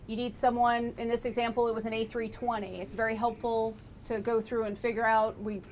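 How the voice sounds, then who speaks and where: A woman's voice: level tone, fairly quick, darkish tone. Woman giving technical briefing in noisy room. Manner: explanatory, conversational. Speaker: lab engineer presenting flight recorder analysis. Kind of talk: informal technical briefing with questions. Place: laboratory tour, indoors. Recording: noisy room with low rumble.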